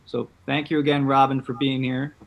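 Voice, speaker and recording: A man's voice: medium pitch, level tone, normal speed, creaky, poor audio. A man hosting a casual interview over a video call. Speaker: host from a theatre group, interviewer.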